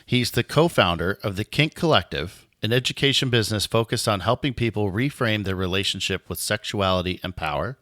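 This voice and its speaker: A male podcast co-host with a lowish voice, recorded very dry and close. He speaks upbeat and enthusiastically as he welcomes his guest. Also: expressive, a little fast, few pauses, fairly bright tone, no echo. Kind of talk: casual podcast hosting.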